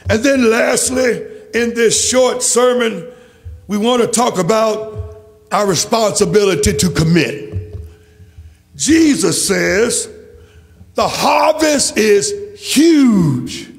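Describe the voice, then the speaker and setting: High-pitched, impassioned male preacher in echoing hall. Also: expressive, slow pace, vocal fry, pauses often. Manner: declamatory, emphatic, repetitive rhetorical phrasing. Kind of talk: impassioned church sermon. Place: large church. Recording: reverberant hall, faint music.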